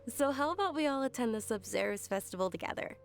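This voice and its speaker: Creaky-voiced, kindly young festival organizer. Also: female voice, a little high, lively intonation, fast talker. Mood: gentle, sincere. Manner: gentle, encouraging. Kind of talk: video game voice acting. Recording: game music behind.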